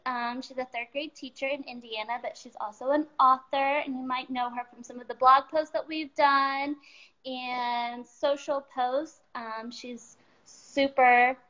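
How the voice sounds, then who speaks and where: A woman with a high voice hosts a children's livestream in a casual, chatty way. The audio from her home setup is slightly dull. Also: fairly bright tone. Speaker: company presenter hosting a children's livestream. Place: at home, on a live stream.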